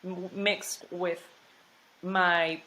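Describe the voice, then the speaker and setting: A woman speaking English with a Mexican accent, her voice a little lower than most. She talks freely and with energy in a casual interview and circles back over her own words. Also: extremely slow. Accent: Mexican Spanish. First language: Spanish. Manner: animated and talkative, restarts and repeats words, fillers like "like". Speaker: actress, interview guest.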